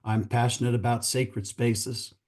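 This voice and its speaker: Brief: hoarse middle-aged man presenting to pastors on a video call, earnest and conversational, mellow low tone. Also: flat delivery, measured pace. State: losing his voice, hoarse. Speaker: church real estate company founder.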